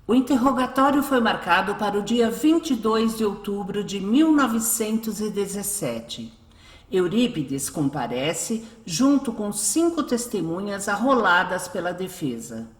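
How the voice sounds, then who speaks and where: A woman with a Brazilian accent narrates a radio drama from a script. Her pitch is medium. The recording has a clear room echo. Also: very animated, measured pace. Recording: roomy echo.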